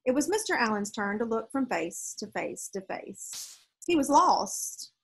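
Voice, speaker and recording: A woman reads a storybook aloud with expression. Her voice sits a little high and has a husky edge. She is outdoors on a porch, speaking to a nearby device. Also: big pitch swings, unhurried. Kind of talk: expressive storybook reading to children. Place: outdoors on a leafy backyard porch.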